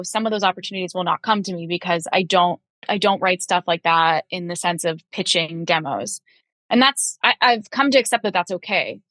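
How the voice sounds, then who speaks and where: A woman's voice: fairly low pitch, a bit bright, no echo. A young woman guest talking candidly in a casual podcast chat, with many fillers and restarts. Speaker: guest composer and vocalist.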